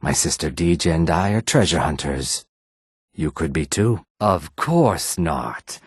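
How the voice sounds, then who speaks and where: A man voicing a shady, calculating lizard-folk adventurer in a video game, with low husky tones and measured, insinuating formal phrasing. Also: animated, no echo, clean studio sound, exaggerated voice. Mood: sly, persuasive. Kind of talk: video game character dialogue.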